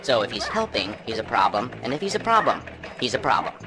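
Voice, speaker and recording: An actor playing a melodramatic chicken news anchor in a game cutscene, with earnest mock-serious delivery. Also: male voice, mid-range voice, big pitch swings, quick pace, lightly acted. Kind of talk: parody newscast delivery. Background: music.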